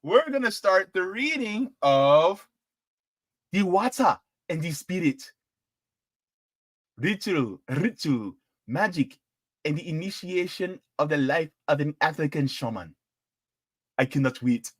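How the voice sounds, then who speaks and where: A young man hosting a live political show, chatting casually and rambling, with excitable bursts. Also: on the high side, slow pace, pauses often, no echo, studio quality. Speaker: political commentary host. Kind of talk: casual live-stream sign-off chat.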